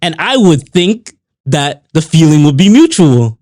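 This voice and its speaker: A man's voice: on the high side, big pitch swings, a little slow, darkish tone, no room sound, studio quality. A man in his late twenties hosting a podcast, bantering and teasing his co-host in casual chat. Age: 20s.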